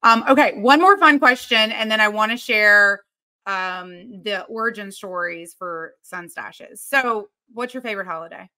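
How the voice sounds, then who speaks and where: A woman's voice: medium pitch, normal speed, pushed and bright, rough recording. A woman in her thirties hosting a video-call interview, asking questions in an upbeat, encouraging way from a home office. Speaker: interview host.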